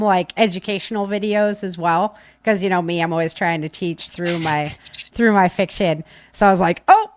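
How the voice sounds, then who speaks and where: A woman's voice: medium pitch, no echo. A woman chatting casually and informally as a live podcast host, with breezy, slangy talk. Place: home office.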